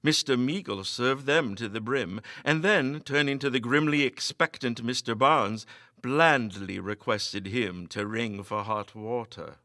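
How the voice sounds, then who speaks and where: A man giving a theatrical, expressive dramatic reading of a classic ghost story. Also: mid-range voice, a little slow, no echo, studio quality. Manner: theatrical, expressive delivery with emphatic dialogue. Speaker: story reader.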